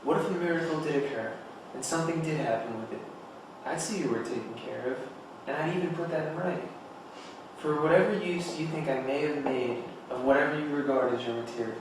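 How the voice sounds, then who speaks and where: A man's voice: a little high, fairly quick, lightly acted. A man playing a proud, placating writer justifying himself in a dramatic script reading. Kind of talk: dramatic table read. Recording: very echoey, distant mic, noisy room.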